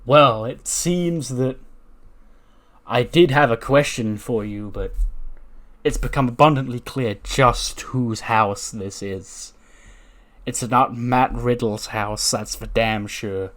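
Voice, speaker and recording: Swaggering male wrestling champion acted by a storyteller, emphatic and taunting, deliberate promo delivery. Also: mid-range voice, a bit bright. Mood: boastful.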